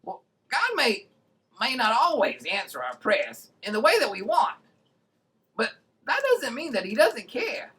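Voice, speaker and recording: A woman's voice: on the high side, huge pitch swings, measured pace, a bit bright, heavily acted. A woman voicing a cheerful, earnest young puppet kid who explains things simply on a children's show. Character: excitable young puppet kid named Tommy, cheerful teacher.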